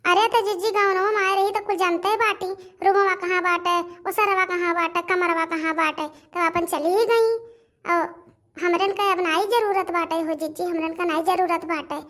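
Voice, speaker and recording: An extremely high-pitched village housewife from a cartoon family comedy, acted by a woman. She has a rustic Awadhi accent and talks in a chatty, repetitive, gossipy way, like an in-law with opinions on everyone. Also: fairly bright tone. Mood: grumbling, astonished. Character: village women of a household, gossiping, admiring and grumbling in-laws.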